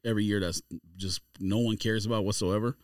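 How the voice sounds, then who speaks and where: A man's voice: fairly low pitch, fairly even intonation, brisk, no room sound, clean studio sound. A man chatting casually as a podcast panelist while running through a ranked list in an offhand, teasing way.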